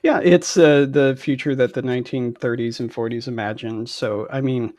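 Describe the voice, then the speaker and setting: Halting man explaining, dry sound. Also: medium pitch, fairly even intonation, darkish tone, no echo. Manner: halting, many "like" and "um". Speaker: guest game designer. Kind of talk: explaining in casual interview. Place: indoor room, on a video call.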